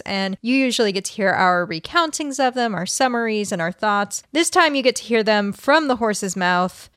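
A woman's voice: fairly high pitch, fairly bright tone, clear, resonant tone, no room sound, studio quality. A woman co-hosting a podcast, introducing the episode and making announcements to listeners. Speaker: podcast co-host.

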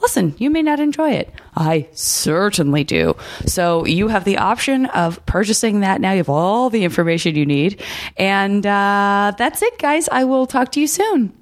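A woman hosting a podcast in casual chat, her voice low, husky and a little fried, with many fillers. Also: very animated, normal speed, dry recording. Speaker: podcast host.

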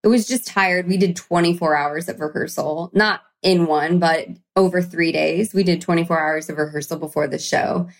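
Woman, singer guest, chatty storyteller, low-middle pitch. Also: steady tone, normal speed, hardly any pauses, poor audio. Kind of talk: casual interview chat.

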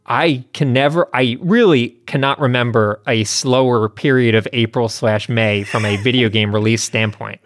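A man's voice: mid-range voice, moderate pace, hardly any pauses, dry recording, clean studio sound. A man hosting a podcast in a casual, conversational way with informal asides. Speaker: podcast host.

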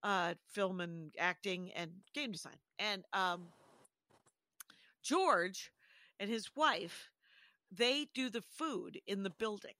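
A woman's voice: medium pitch, takes it slowly, pushed and bright, no room sound. A woman co-hosting a podcast, telling stories in a rambling, self-interrupting way with frequent laughs. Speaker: podcast co-host. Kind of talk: casual chat.

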